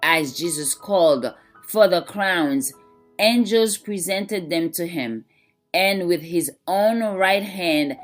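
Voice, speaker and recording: A woman reads a scripted devotional in a fairly low voice. Her delivery is slow, measured and reverent, with faint music behind her. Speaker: devotional reader. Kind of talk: devotional reading of scripted religious text.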